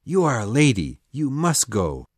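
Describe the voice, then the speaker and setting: A woman's voice: rumbling low, sing-song, measured pace, darkish tone, husky, dry recording, studio quality, voice pushed down, full character voice. A woman voicing a bold, stubborn boy hero from a storybook, speaking firmly and dramatically. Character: Peter Pan, bold stubborn boy hero, brave and brusque. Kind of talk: storybook character voice.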